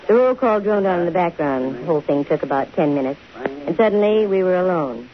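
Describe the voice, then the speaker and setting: An actress playing a dry, wisecracking, self-possessed young private detective in a 1950s radio drama. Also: female voice, on the low side, animated, a little fast, natural voice. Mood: cool narrating. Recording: hissy, muffled 1950 radio broadcast.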